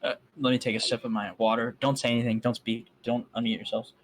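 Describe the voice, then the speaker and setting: A man's voice: mid-range voice, little pitch movement, quick pace, a little hiss or hum. A man reading a fan story aloud on a voice call and breaking off for sarcastic, mocking asides. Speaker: fan fiction reader.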